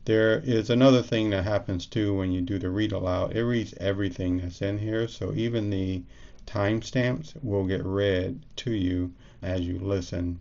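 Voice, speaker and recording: Low, gravelly man giving a tutorial. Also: dark tone, highs cut off. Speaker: tutorial presenter. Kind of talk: casual step-by-step screencast explanation.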